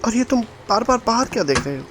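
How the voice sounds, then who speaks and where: A woman's voice: fairly high pitch, very animated, a little fast, background noise. A woman with an Indian accent playing an eager, inquisitive young bride in an audio drama. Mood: puzzled, concerned. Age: young adult. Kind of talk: Hindi audio drama acting.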